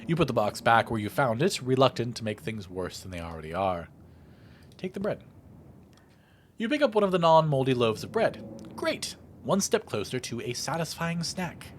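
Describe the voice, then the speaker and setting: A man's voice: mid-range voice, moderate pace, slight background noise. A man narrating a video game playthrough, reading the text aloud theatrically and adding his own casual commentary. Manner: expressive, theatrical read-aloud delivery. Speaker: let's play host.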